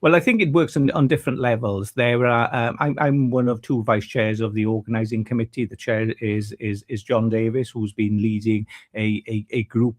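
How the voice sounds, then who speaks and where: A man's voice: medium pitch, steady tone, moderate pace, hardly any pauses. A man, a guest on a radio show, talking about a festival with many fillers and restarts. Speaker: festival committee vice chair. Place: radio studio.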